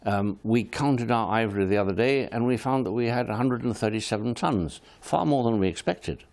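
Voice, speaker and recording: Gravelly man. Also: medium pitch, darkish tone. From Kenya. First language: Swahili. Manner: frank, firm, measured statements. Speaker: interview guest, wildlife service head. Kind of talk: studio interview answers.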